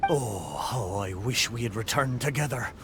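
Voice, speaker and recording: A man's voice: mid-range voice, animated, normal speed, slight background noise, lightly acted. A man playing a sentimental talking shipwreck in a video game, speaking like a theatrical old pirate sailor with a stage pirate brogue. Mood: sorrowful, regretful. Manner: theatrical, hearty, archaic sailor phrasing. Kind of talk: video game character acting. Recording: game music behind the voice.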